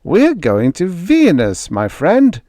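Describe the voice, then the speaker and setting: A man reading an audiobook, voicing a big, hearty spaceman in his forties with a booming, blunt, slangy way of talking. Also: wildly swooping pitch, slow, dark tone, no room sound, exaggerated voice. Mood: matter-of-fact. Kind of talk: audiobook character voice.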